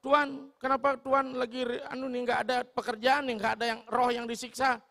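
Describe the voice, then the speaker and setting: A man's voice: extremely high pitch, little pitch movement, brisk, pushed and bright, voice pushed up, exaggerated voice. A man voicing an eager, awestruck young man who keeps asking questions in a raised voice. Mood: curious. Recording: long hall reverb.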